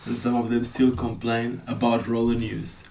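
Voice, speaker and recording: A man hosting a casual podcast chat in a skate shop, relaxed and chatty, laughing often. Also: medium pitch, normal speed, creaky, poor audio. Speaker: skate vlogger and podcast host. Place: skate shop, sound not the best.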